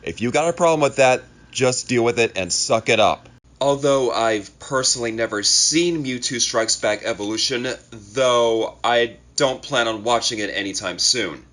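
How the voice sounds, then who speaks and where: A man's voice: mid-range voice, moderate pace, a bit bright. A man speaking casually to viewers as an amateur creator making an announcement. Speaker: amateur video creator and voice actor. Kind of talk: casual scripted-feeling vlog announcement.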